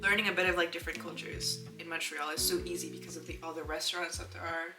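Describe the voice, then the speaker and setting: A woman in her twenties from Saskatchewan, chatting casually as an interview guest, relaxed and thoughtful with frequent filler words. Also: lowish voice, fast, recorded from a distance. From Saskatchewan, Canada. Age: 20s. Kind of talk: casual conversation. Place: home, indoors. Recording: echoey room, off-mic, music underneath.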